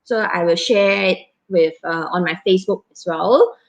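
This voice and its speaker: A woman in her thirties with a Malaysian English accent, moderating a casual live stream in a chatty, halting way with many fillers and restarts. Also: on the low side, highs cut off.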